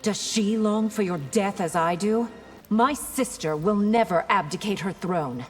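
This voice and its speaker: A woman's voice: medium pitch, measured pace, big-room sound, soft background music, close to an everyday voice. A woman voicing a defiant warrior princess in a video game, formal and indignant.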